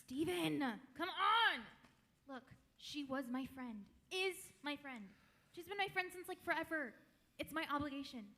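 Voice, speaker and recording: A woman's voice: sits high, normal speed, pushed and bright. An actress playing a self-important teenage girl who rambles and wheedles to get her way. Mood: bossy.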